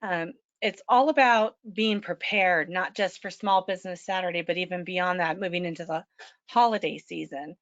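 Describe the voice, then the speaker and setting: A woman presenting a small-business webinar, a little hoarse from allergies, often clearing her throat and saying um. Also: lowish voice, moderate pace, a bit bright, no echo. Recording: dull lo-fi web call audio.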